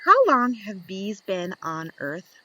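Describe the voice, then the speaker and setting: A woman reading a picture book aloud to young children, expressive and instructive, putting questions to her listeners and answering them. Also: mid-range voice, slow pace, no echo, low-quality recording.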